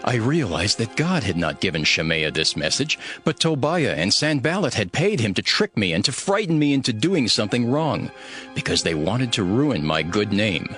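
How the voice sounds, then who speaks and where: Low-voiced, earnest ancient governor. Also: male voice, fairly bright tone. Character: steadfast, devout male governor of ancient Jerusalem telling his story. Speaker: first-person narrator. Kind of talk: dramatized scripted narration.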